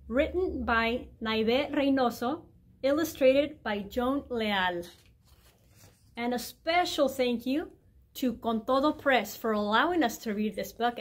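A middle-aged woman reading a children's picture book aloud in a clear, deliberate storytime way in a library room. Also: fairly high pitch. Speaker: guest reader, mayor. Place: indoor library room with bookshelves.

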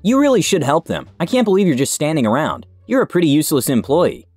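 A man doing a rude entitled woman customer in a read-aloud story, demanding and accusing. The voice sits well above his normal pitch and pushes harder. It is dry, with faint music behind. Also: big pitch swings, quick pace, darkish tone, no room sound.

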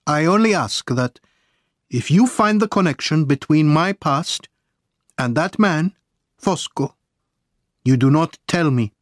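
A man's voice: a little high, expressive, unhurried, long pauses, no room sound, clean studio sound. A man voicing an anxious, secretive Italian political exile in dramatic, earnest audiobook dialogue. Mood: fearful, grave.

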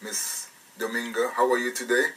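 A man's voice: mid-range voice, lively intonation, normal speed, sharp tone, distant mic, poor audio. A man from England, hosting a radio interview in a rambling, casual way with many restarts. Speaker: radio show host.

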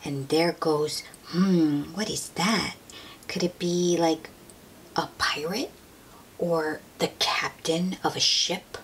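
A woman reading a picture book aloud to young children, gently drawing out her words and asking them questions in an expressive way. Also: low-pitched, slow. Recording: steady noise behind the voice.

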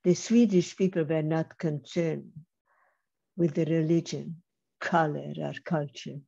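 An older woman giving a measured, emphatic personal testimony over a video call, speaking slowly with pauses. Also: sits low, fairly even intonation, creaky, warm tone, husky. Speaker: Holocaust survivor speaker. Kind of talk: slow personal testimony talk. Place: home room on video call. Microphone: webcam mic on video call. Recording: slightly dull lo-fi video call audio.

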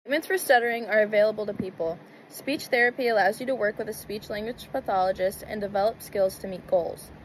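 A woman's voice: medium pitch, quick pace, hardly any pauses, a little hiss or hum, rough recording. A young woman reading her prepared part of a college class presentation. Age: young adult. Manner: reads a prepared script. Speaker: student presenter.

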